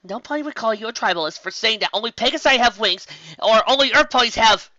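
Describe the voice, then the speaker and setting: A reader voicing an excitable, anxious young female unicorn sorceress who argues with herself in a shrill, frantic way, in a fan fiction reading. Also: quick pace, no echo. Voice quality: shrill, frantic, self-arguing. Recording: dull, muffled home recording.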